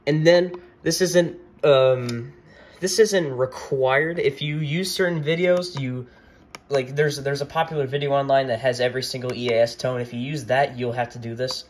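Male tutorial maker, mid-pitched, casual and hesitant, noticeable room noise behind him. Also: steady tone. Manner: hesitant, halting, repeats himself. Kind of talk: casual hands-on tech demonstration. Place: indoor room at a wooden desk.

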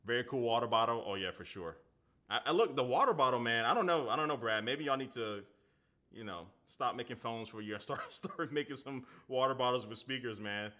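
A man in his thirties hosts a relaxed tech live stream from a desk studio at home. His voice is bright and forward, with a mid-range pitch. He talks loosely, fills his speech with "like" and "man", and answers chat as he goes. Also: fairly quick. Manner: chatty, loose, lots of "like" and "man", reads viewer comments aloud. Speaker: tech reviewer.

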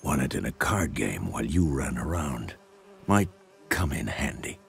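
An actor playing an older monster hunter, a wry mentor with a gravelly voice and a measured, instructing manner in a video game. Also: male voice, very deep voice, big pitch swings, slight background noise, heavily acted. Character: gruff old veteran monster hunter, male, wry mentor. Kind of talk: video game dialogue acting.

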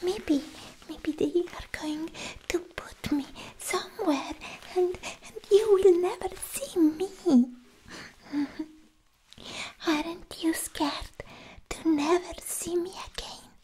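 A young woman playing a sweetly possessive, obsessed alien girlfriend in a whispered, coaxing ASMR roleplay, speaking close to the mic in halting phrases. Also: very high voice, warm tone, low-quality recording. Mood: pleading, coy. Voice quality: breathy, mostly whispered. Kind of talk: soft-spoken ASMR roleplay. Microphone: close ASMR microphone.

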